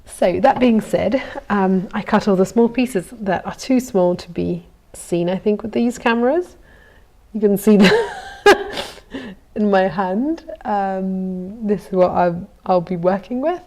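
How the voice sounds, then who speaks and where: Woman, young adult, from Italy, native Italian speaker, casual workshop chat, frequent ums and small laughs, slightly gravelly voice. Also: mid-range voice, lively intonation, slow, a little mellow. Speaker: goldsmith streaming from her workshop. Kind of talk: casual live-stream chat while working.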